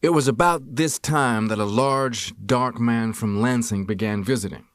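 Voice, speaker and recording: A man's voice: medium pitch, normal speed, no echo, clean studio sound. A man reading a first-person memoir as scripted audiobook narration. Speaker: audiobook reader.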